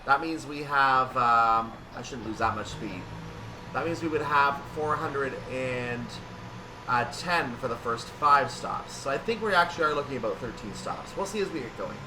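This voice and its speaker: A man's voice: mid-range voice, animated, normal speed, far from the mic, background noise. A man giving casual gameplay commentary on a train simulator, thinking aloud and correcting himself as he goes. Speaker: gaming video host.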